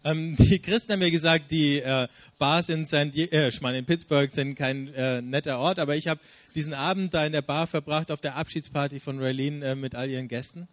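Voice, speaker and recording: A man's voice: on the high side, fairly even intonation, a little fast, dry recording. A man interpreting a speaker's stories sentence by sentence, with frequent hesitation fillers. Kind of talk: consecutive interpretation.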